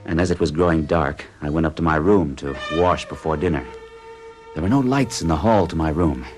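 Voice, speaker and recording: An actor playing an anxious traveler who recounts strange events to a doctor in a radio drama, with a husky, agitated delivery. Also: male voice, rumbling low, fairly quick, music in the background. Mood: uneasy. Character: anxious American traveler narrating his ordeal. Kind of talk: 1970s radio drama acting.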